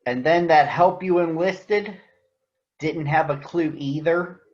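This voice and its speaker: A man addressing children as a picture book reader, speaking in deliberate phrases, in a read-aloud storytelling style. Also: fairly high pitch, a little mellow, highs cut off.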